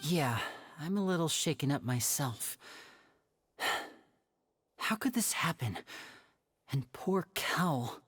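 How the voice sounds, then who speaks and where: A man's voice: on the high side, animated, slow pace, long pauses, fairly bright tone, breathy edge, no echo, studio quality, full character voice. A man voicing a polite, earnest young male stage magician in an anime game, courteous and articulate. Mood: shaken, sincere. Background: background music.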